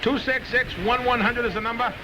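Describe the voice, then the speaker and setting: Sports radio host, noisy old recording. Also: male voice, extremely high pitch, fairly even intonation, fairly quick. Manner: opinionated, emphatic, repeats phrases. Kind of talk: live sports talk radio, casual chat with callers.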